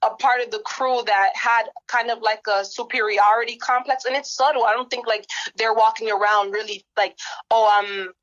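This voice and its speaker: A woman's voice: on the high side, steady tone, normal speed, a bit bright, dull audio. A woman from a Dominican background, guest on a podcast, talking casually with lots of fillers and restarts. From Dominican background, raised in Harlem. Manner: chatty, frequent fillers and rephrasing. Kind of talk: casual conversation.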